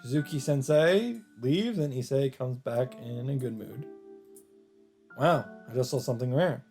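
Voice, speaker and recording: A man's voice: mid-range voice, expressive, unhurried, gravelly, darkish tone. A man casually reading a visual novel aloud as a let's player, dropping in his own reactions and asides. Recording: music behind the voice. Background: game music.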